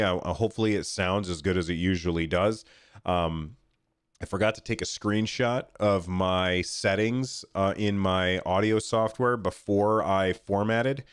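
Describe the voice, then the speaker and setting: A man with a fairly low voice hosts a podcast on his own. He sounds stuffed-up and nasal, as with a head cold. His delivery is informal and chatty, aimed straight at listeners, on a close, very dry microphone. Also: smooth, no echo. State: congested from a cold. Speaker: podcast host. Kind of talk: casual solo podcast talk.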